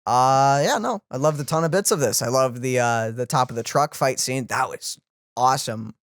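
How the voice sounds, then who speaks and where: An excitable fan co-hosting a reaction video in casual, animated chat. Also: mid-range voice, normal speed, no echo, clean studio sound.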